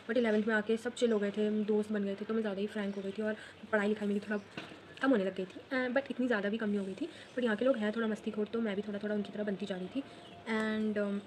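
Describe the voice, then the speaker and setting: Teen girl rambling fast about her life while she draws, voice a bit high, hiss behind her. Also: poor audio. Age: late teens. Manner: chatty, fast, mixes English phrases into Hindi. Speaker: vlogger. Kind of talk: casual storytelling while drawing.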